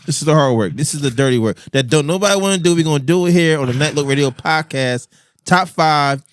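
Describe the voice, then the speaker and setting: A man's voice: on the high side, fast, dry recording, studio quality. A man hosting a podcast in casual interview chat, playful and teasing, pushing his guest for answers. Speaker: podcast host.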